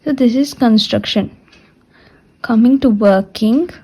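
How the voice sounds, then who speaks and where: A woman giving a step-by-step technical lecture, restating her points deliberately as she explains. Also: fairly high pitch, mellow, slightly rough, poor audio. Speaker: physiotherapy lecturer.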